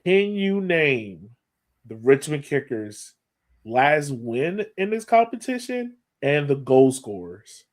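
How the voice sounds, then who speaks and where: A man's voice: on the high side, sing-song, takes it slowly. A man co-hosting a soccer podcast in casual chat, with a playful, folksy way of putting questions. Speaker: sports podcast co-host.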